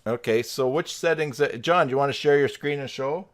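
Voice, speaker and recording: A man's voice: medium pitch, brisk. A man hosting a live stream, chatting informally and jokingly with a group on a video call.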